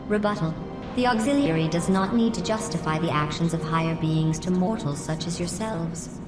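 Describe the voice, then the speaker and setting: A cold, formal machine guardian, played by a woman, speaks in low, clipped reports, each opened with a one-word tag. Her voice rings in a roomy echo. Loud orchestral music plays behind her. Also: moderate pace, natural voice. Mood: aloof. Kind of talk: game cutscene voice acting.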